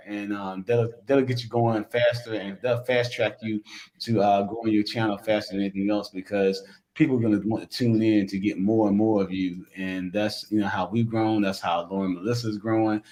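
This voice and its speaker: Low-voiced man giving advice. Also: steady tone, normal speed, darkish tone, rough recording. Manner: playful and encouraging. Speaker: guest. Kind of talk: casual livestream chat.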